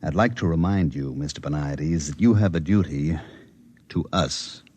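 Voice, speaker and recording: A man's voice: extremely low pitch, normal speed, a little mellow, husky. A man acting a stern, pressuring security chief in 1950s radio drama, clipped and officious. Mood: stern, threatening. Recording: dull vintage 1950s radio recording.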